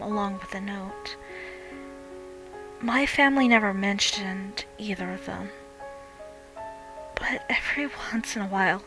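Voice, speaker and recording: Casting: young female horror narrator, slightly low voice, dramatic first-person reading, clear music bed. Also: a bit bright, low-quality recording.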